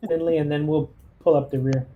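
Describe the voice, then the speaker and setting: A man's voice: on the high side, steady tone, brisk, phone-quality sound, slight background noise. A man chatting casually as a player leading a squad in a tabletop game.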